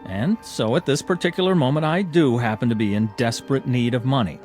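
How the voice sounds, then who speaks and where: A man's voice: medium pitch, fairly quick, a little mellow, soft background music, natural voice. An actor playing a proud, wryly bitter clubman in an audio drama, speaking formally and theatrically. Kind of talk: modern audio drama acting.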